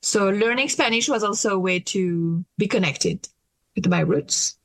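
French-accented woman with a gravelly voice. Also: medium pitch, dry recording, low-quality recording. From France. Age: late 30s. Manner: hesitant, thoughtful, with many pauses and restarts. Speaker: interview guest. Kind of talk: casual interview conversation.

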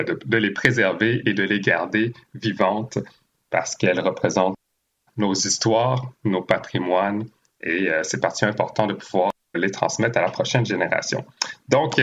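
A man from Haiti hosting a conversational interview, speaking in a halting way with frequent pauses. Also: on the low side, fairly bright tone, muffled recording. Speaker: podcast interviewer and host. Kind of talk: conversational interview with long spoken introductions.

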